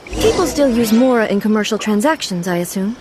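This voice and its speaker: An actress playing a calm, dignified ruler who speaks formally and with composed authority in an anime game. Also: female voice, mid-range voice, a little fast, background noise, lightly acted. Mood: calm, aloof. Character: dignified female ruler, ageless, calm, regal, aloof yet curious. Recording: loud background music.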